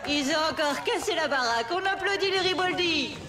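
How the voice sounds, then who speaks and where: A showy female stage announcer in a cartoon. Her very high voice swings widely as she gushes and stretches her words. It echoes, with music behind. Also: lively intonation, brisk, over a noisy crowd. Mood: gushing. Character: showy, gushing female karaoke contest presenter.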